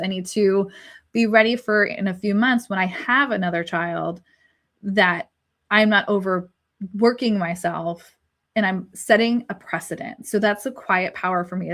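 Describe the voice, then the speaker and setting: A woman hosting a live panel discussion, speaking reflectively with frequent restarts, who is five months pregnant. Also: mid-range voice. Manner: reflective, searching, many restarts. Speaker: host.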